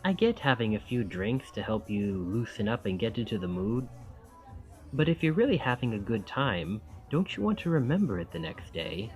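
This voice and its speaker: A man's voice: lowish voice, very animated, normal speed, soft background music, low-quality recording. A man acting a charming, friendly young college student in an intimate ASMR roleplay, with a self-deprecating, thoughtful and wry manner.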